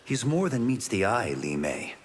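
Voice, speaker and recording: An actor playing a humble, good-natured martial-arts champion in a video game, courteous and sincere. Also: male voice, fairly low pitch, big pitch swings, moderate pace, a little hiss or hum. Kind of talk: video game character dialogue. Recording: long reverb, faint background ambience.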